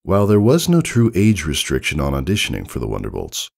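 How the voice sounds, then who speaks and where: A man narrates scripted fiction in a very low voice with a gravelly creak. His delivery is dramatic and measured, with extremely animated swings in pitch. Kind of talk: expressive scripted story narration.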